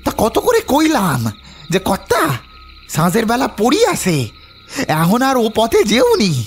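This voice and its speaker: A man's voice: very high-pitched, huge pitch swings, takes it slowly, soft background music, exaggerated voice. A man playing a distraught, devout rural Bengali boatman in an audio drama, with a folksy, deferential, repetitive way of talking and a village accent. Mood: anxious explaining. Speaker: voice actor in audio story. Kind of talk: melodramatic audio drama acting.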